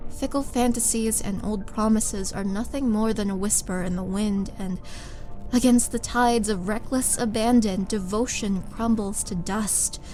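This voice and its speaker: A woman playing a vengeful, seductive monster warrior in a wistful, theatrical roleplay monologue, with dramatic pauses. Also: mid-range voice, mellow, natural voice.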